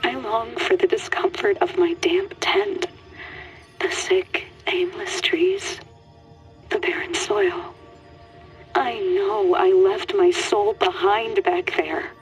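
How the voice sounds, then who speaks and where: An actress playing a remorseful, homesick scientist confessing in a secret video game audio log, hushed, breathy and slow. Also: female voice, mid-range voice, expressive, in a whisper, soft background music, poor audio. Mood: wistful, secretive.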